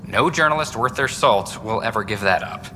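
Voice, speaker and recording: An actor playing an overworked, earnest young news reporter in a video game, chatty, wry and self-deprecating. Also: male voice, lowish voice, lively intonation, moderate pace, bright, forceful tone. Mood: wry, hopeful. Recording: reverberant hall with background noise.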